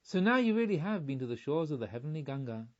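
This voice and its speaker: A man reading a storybook, voicing a knowing, cautious friend in firm, measured words on a slightly muffled recording. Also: fairly high pitch, big pitch swings. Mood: knowing, warning. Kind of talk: audiobook storytelling.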